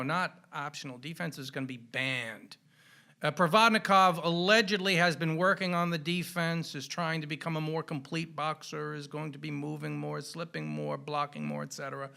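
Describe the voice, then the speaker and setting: Male sports podcast host, raised pitch with gravelly fry, colloquial and punchy, given to showy flourishes. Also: pushed and bright. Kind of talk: boxing podcast commentary.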